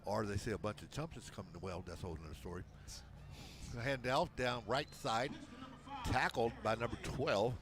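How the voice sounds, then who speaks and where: A man with a big, loud voice calling high school football play-by-play from a press box, mixing calls with folksy jokes. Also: moderate pace. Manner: folksy, joking banter between calls. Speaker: play-by-play announcer. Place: press box at outdoor stadium.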